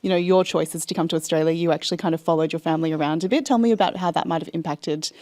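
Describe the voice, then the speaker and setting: A woman's voice: deep voice, fairly even intonation, racing, a little mellow. A woman hosting an interview podcast, introducing her guest and asking encouraging questions. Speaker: podcast host. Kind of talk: hosted interview.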